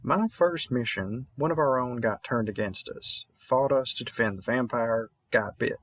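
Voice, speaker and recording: A man's voice: mid-range voice, moderate pace, fairly bright tone, dry recording, natural voice. A man playing a weary, kindly veteran vampire hunter in a roleplay audio drama, with a Southern US accent and a rambling, slightly mumbled, reassuring manner. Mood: somber, earnest.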